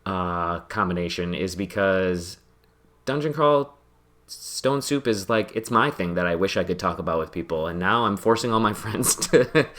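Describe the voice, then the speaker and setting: A man's voice: deep voice, normal speed, a bit bright. A man hosting a podcast while playing a video game, talking in a rambling, self-deprecating way with many fillers and restarts. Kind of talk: casual chat while gaming.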